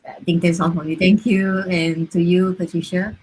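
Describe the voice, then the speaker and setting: A woman's voice: lowish voice, little pitch movement, moderate pace, darkish tone, breathy edge, rough recording. A woman casually introducing herself as a panelist on a live stream. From Singapore. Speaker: online business owner, panelist. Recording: faint background noise and clicks.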